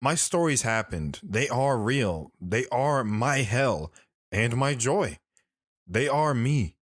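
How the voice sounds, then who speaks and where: World-weary male drifter, played by a man for audio drama: mid-pitched, dry recording, earnest, philosophical, deliberate. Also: expressive, dry recording. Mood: brooding. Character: lone wandering man, world-weary, philosophical, sorrowful storyteller.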